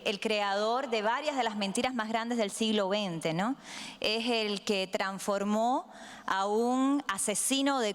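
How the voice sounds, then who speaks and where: Woman on a panel, smooth clear voice, stops and hesitates a lot, hall reverb. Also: mid-range voice. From Cuba. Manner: measured, with frequent hesitations. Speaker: panel guest, activist. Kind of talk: panel discussion talk.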